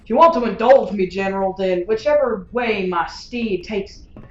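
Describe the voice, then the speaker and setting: An actress playing a wry, blunt, independent space gunslinger in a science fiction audio drama. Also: female voice, medium pitch, moderate pace, echoey room, lo-fi sound, natural voice. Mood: wry, defiant.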